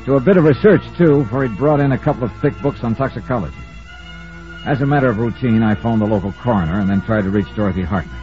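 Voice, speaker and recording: An American man acting a brisk, commanding, hard-boiled investigator in an old-time radio detective drama, also narrating it. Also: medium pitch, music in the background, natural voice. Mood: dry narration. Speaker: lead actor and narrator of a radio detective serial. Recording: muffled old radio recording.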